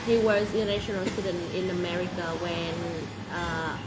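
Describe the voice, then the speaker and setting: A woman in her twenties, born in Vietnam, a job applicant telling her work history in halting speech with many fillers and restarts. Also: on the low side, fairly even intonation. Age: 20s. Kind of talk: interview answers. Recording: echoey room, loud background noise.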